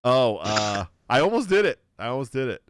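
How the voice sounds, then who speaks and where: A man's voice: mid-range voice, big pitch swings, normal speed, slight background noise. A man hosting a livestream, reading donations in a laid-back, profane way. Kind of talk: casual livestream chat.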